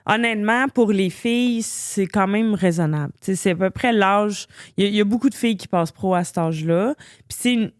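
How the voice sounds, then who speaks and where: A woman's voice: mid-range voice, fairly quick, dry recording. Woman, 30s, Québécois accent, chatty, filler-heavy, dry close sound. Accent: Québécois French. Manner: chatty, frequent fillers, laughs easily. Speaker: guest, professional boxer. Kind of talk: casual conversation.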